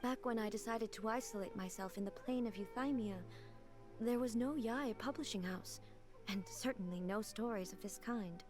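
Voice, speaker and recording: A woman acts a dignified goddess ruler from a video game, with a fairly high voice. The words are formal, measured and earnest, with an unworldly reserve, set over music. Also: close to an everyday voice. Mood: solemn, reflective.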